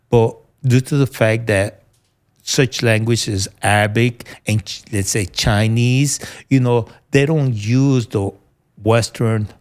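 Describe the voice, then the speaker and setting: Middle-aged veteran, New York accent, earnest, halting delivery with fillers. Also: male voice, medium pitch. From United States. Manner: earnest, searching for words with fillers and restarts. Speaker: military veteran guest. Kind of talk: conversational interview.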